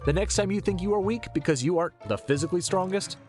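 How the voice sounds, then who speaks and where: A man's voice: sits high, fast, a little mellow, soft background music, close to an everyday voice. A man playing an earnest, dutiful young leader of a space hero team, sincere and plain-spoken.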